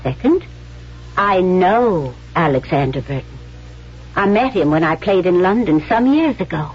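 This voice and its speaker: A self-assured young stage actress in an old radio play. Her voice is slightly husky and swings expressively, with a genteel, theatrical delivery. The broadcast sound is dull and vintage. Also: lowish voice, lively intonation, unhurried. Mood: coolly shrewd. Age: young adult. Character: poised, cunning young actress with romantic Southern sympathies. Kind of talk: 1970s radio drama acting. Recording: band-limited vintage radio broadcast with music.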